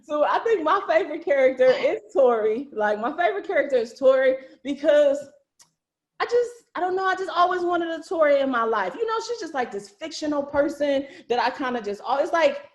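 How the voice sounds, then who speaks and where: Chatty, higher-voiced woman hosting. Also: lively intonation, a little fast, lo-fi sound. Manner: chatty, slangy, laughs often. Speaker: host and cast member of an audio series. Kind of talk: casual group video-call chat. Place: online video call.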